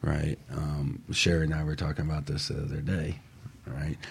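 Man, deep husky voice, conversational radio panelist, earnest motivational talk, frequent checking tags. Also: level tone, moderate pace. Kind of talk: casual motivational discussion.

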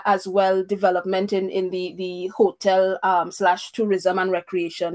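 A woman's voice: mid-range voice, darkish tone. Hesitant female host from Trinidad and Tobago. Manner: hesitant, many ums and restarts. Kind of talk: casual discussion.

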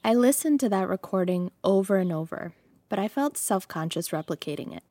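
A woman's voice: mid-range voice, lively intonation, fairly quick, dark tone, no echo. A woman raised in the United States, whose first language was Russian, hosting and narrating a podcast in a conversational, reflective way. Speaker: podcast host.

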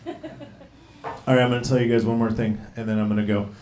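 A man's voice: fairly low pitch, flat delivery, quick pace, darkish tone, echoey room, slight background noise. A man in his thirties doing a stand-up comedy set, rambling and profane, poking fun at himself. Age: 30s. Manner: profane, rambling, self-deprecating. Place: comedy club stage.

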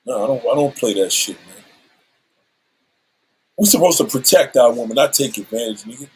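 A man's voice: medium pitch, lively intonation, normal speed, slightly rough, low-quality recording. A man in his seventies ranting on a livestream, combative and profane, issuing threats and calling out rivals. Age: 70s.

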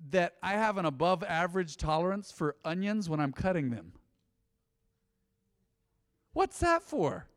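Lively high-voiced male pastor preaching. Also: big-room sound. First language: English. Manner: animated, conversational preaching with many asides. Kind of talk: sermon. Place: church.

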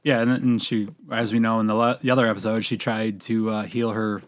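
A man's voice: fairly low pitch, flat delivery, fairly quick. A man chatting casually on a podcast, making dry offhand remarks. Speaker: podcast co-host. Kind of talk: casual chat.

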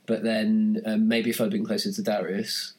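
A man's voice: on the low side, little pitch movement, moderate pace, darkish tone. A man co-hosting a casual podcast, recounting a day out in a rambling way with dry humor. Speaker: podcast co-host. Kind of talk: casual chat.

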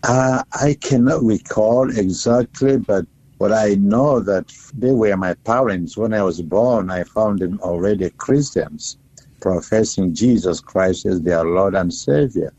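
A man with a South Sudanese accent, a bishop speaking haltingly and deliberately as an interview guest over the phone. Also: fairly low pitch, mellow, husky. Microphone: telephone. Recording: dull, muffled phone line.

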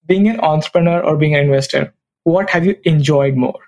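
Male podcast host, bright-ish higher voice, asks prepared questions. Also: brisk. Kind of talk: interview questions.

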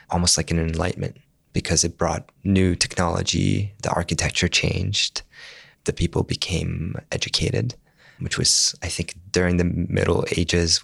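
A very deep, gravelly-voiced young man with a slightly husky tone, raised in Jordan and a native Arabic speaker. He answers interview questions thoughtfully, often catching and restarting his words. The recording is close and dry. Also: no room sound. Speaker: Spanish teacher, interview guest.

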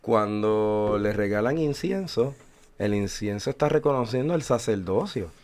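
A man's voice: on the low side, lively intonation, unhurried, a little mellow. A man in his forties co-hosting a religious radio chat, explaining thoughtfully with hesitant fillers. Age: 40s. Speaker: radio show co-host.

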